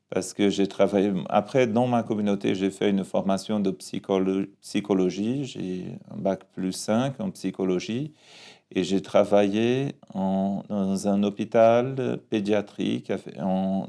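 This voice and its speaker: A man from Brazil, a parish priest speaking French with a Brazilian Portuguese accent, giving a calm, reflective personal testimony in an interview, often restarting his phrases. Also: lowish voice, vocal fry.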